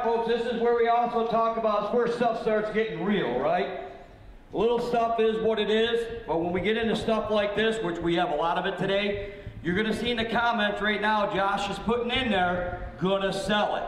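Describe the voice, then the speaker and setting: A man working as a live auctioneer, folksy and blunt, talking straight to the bidders. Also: sits high. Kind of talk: live auction sales patter. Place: large indoor auction room. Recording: very echoey hall, some background noise.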